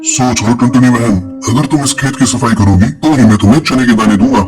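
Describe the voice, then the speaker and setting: A man playing a stern, hard-bargaining bird merchant in a moral-story cartoon, with a firm, measured delivery. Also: medium pitch, over music, lightly acted. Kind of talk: Hindi cartoon dialogue.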